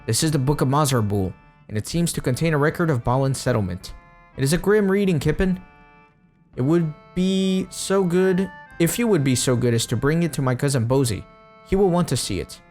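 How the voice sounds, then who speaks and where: A man reading aloud a sorrowful, polite dwarf's dialogue from a fantasy game in formal, gentle phrases. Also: fairly high pitch, expressive, brisk, soft background music, close to an everyday voice. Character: sorrowful, polite male dwarf dreading grim news.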